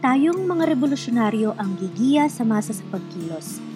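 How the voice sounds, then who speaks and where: A woman's voice: a little high, big pitch swings, normal speed, over loud music. A woman hosting a scripted radio show, speaking to listeners directly in an exhortative, conversational way. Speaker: radio program host.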